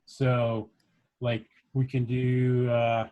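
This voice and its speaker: A man's voice: medium pitch, monotone, very slow, muffled recording. Man giving a casual coding tutorial. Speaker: workshop instructor.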